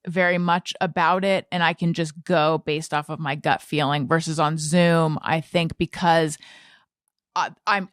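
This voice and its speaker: A woman's voice: on the low side, dry recording, clean studio sound. An American woman in her forties chatting casually as a podcast host, often stopping to correct herself and think aloud. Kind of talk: casual conversational interview chat.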